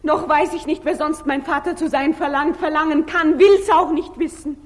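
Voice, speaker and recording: An actress playing a distraught young adopted daughter, impassioned and pleading, in a 1940s German radio play. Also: female voice, sits high, steady tone, natural voice. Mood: desperate pleading. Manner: impassioned, pleading, slow theatrical delivery. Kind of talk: 1940s German radio stage acting, declamatory. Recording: old 1940s radio drama recording.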